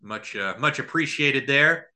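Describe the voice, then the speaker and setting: A man calling live basketball play-by-play as a podcast host, somewhat halting between plays. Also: mid-range voice, expressive, pushed and bright.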